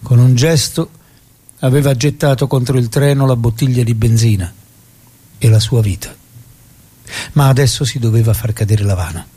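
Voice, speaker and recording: An actor playing a solemn chronicler of the revolution, narrating in a declamatory, elegiac style. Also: male voice, mid-range voice, normal speed, pauses often, mellow, slightly rough, close to an everyday voice. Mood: elegiac, epic. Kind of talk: dramatic scripted narration.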